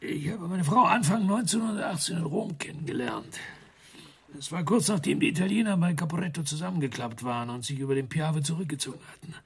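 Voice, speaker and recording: Wounded english major, raspy and creaky, flustered clipped confessions, radio play. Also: male voice, on the high side, a little fast, a bit bright, full character voice. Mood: bitter recounting. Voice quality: husky, creaky, breathy voice. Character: embarrassed English army major, wounded war veteran. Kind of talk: German radio play acting.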